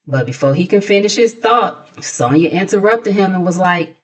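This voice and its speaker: Gossip channel host: American woman, slightly low-pitched, sassy and casual, dropping mocking asides into her recap. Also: expressive, normal speed, rough recording.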